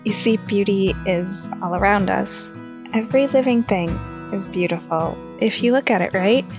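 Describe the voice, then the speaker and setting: An actress playing a bold, tender young sweetheart in an audio drama, direct and encouraging with a teasing streak. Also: female voice, mid-range voice, moderate pace, close to an everyday voice. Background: plucked guitar music.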